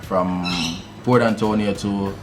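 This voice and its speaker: Jamaican man, very low voice, relaxed storyteller, loud music behind. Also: unhurried. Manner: laid-back, many fillers, laughs easily. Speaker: musician guest. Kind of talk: casual interview storytelling.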